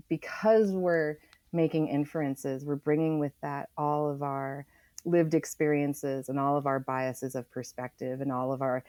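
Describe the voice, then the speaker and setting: A woman being interviewed as a writer, explaining her ideas conversationally and thoughtfully, with deliberate, emphatic phrasing. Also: sits low, a little mellow, no room sound. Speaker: interview guest, environmental writer.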